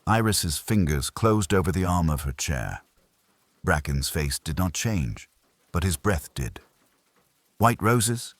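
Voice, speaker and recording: Very deep husky man narrating a detective story. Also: animated, unhurried, dry recording. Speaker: audiobook narrator. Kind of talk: scripted first-person detective story narration.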